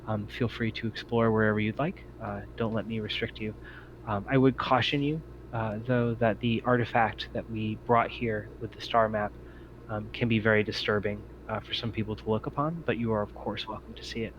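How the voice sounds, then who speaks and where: A man's voice: fairly low pitch, fairly even intonation, moderate pace, lightly acted. A man voicing a courteous, cautious researcher in a tabletop game, polite and hesitant as he hosts visitors. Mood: polite, cautioning. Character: courteous, cautious male expedition researcher alone aboard ship. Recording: muffled lo-fi audio with background noise.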